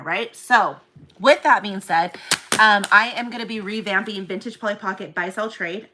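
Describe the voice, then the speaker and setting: A woman's voice: medium pitch, bright, forceful tone. A woman with a New Zealand accent chatting casually on a livestream as a seller and collector group admin, rambling with lots of fillers and restarts.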